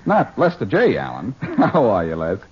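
A man's voice: fairly low pitch, wildly swooping pitch, moderate pace, a little mellow, slight background noise. A man playing a glib, wisecracking detective in a 1940s radio drama, with an American accent. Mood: flustered. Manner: breezy, wisecracking. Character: glib, quick-witted male amateur detective. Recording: dull, muffled old radio recording.

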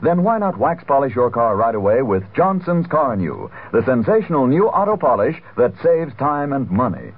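Animated male vintage radio pitchman, tinny. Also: normal speed, hardly any pauses, breathy edge. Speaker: radio commercial announcer. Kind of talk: 1940s radio advertising read. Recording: thin, narrow old radio sound.

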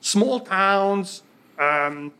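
High bright-voiced man from Romania. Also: animated, very slow, clear, resonant tone. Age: 60s. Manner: rambling, many fillers and restarts. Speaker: guest. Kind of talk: casual life-story interview.

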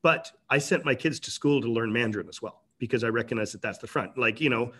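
A man's voice: medium pitch, fast, a bit bright, husky. A middle-aged man, a company president talking casually as a guest, rambling with many restarts. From Canada. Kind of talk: casual interview talk.

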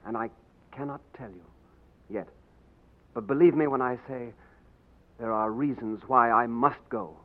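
An actor playing a gallant romantic hero. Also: male voice, mid-range voice, measured pace, long pauses, close to an everyday voice. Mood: tender. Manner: suave, tender, heroic. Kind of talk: 1940s radio acting. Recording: dull lo-fi 1940s radio broadcast.

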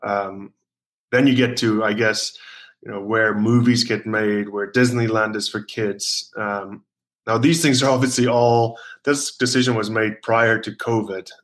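Rugby player guest, thirties, from South Africa, slightly low voice, loose rambling delivery. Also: male voice, fairly even intonation, unhurried, a bit bright. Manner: rambling, many fillers and restarts. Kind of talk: casual interview chat.

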